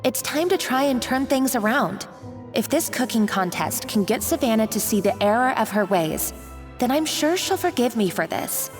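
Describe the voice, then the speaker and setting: A woman playing a modest, earnest young chef in a video game, tentative yet quietly determined. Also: fairly high pitch, big pitch swings, moderate pace. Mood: resolute. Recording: reverberant, background music.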